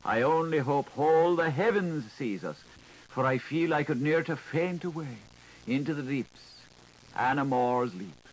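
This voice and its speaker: A man reading a literary audiobook as a dramatic monologue, in an expressive, musing and intimate way with shifting emphasis. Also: a little high, a little slow. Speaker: audiobook reader. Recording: noisy 1980s audiobook recording.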